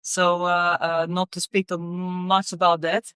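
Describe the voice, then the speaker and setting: A middle-aged woman from Serbia speaks English in a relaxed interview. Her voice is smooth and resonant. She pauses often, with fillers and repeated words, and the sound is dry and close to the mic. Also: fairly low pitch, no echo. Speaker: guest, company executive. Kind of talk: casual interview conversation.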